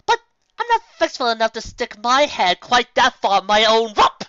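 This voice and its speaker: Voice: mid-range voice, sing-song, moderate pace, sharp tone, no room sound, muffled recording, pitched above the performer's own voice. A storyteller voicing a refined, haughty female unicorn who is prim, poised and mock-polite. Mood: smug. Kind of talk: fan fiction story character voice.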